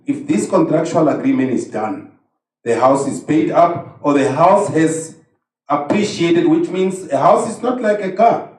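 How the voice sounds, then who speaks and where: A man's voice: on the high side, darkish tone. Man presenting in an echoey hall. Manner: practical, advising. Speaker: workshop presenter. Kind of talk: explanatory talk to an audience. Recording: echoey hall, distant mic.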